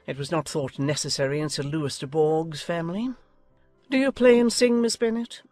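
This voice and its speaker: A woman's voice: sits low, normal speed, darkish tone, dry recording, faint music behind, natural voice. A woman playing an imperious, nosy older noblewoman in a dramatized audiobook, haughty and decisive. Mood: imperious, condescending. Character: imperious, nosy older aristocratic English lady.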